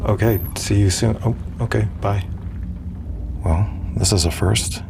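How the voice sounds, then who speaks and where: An actor playing an awkward, earnest space commander who stammers and mutters nervously to himself in an audio drama. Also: male voice, measured pace, noisy background. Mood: flustered. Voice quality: low, gravelly. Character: awkward, earnest space commander, self-deprecating emissary, smitten. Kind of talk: immersive first-person audio drama.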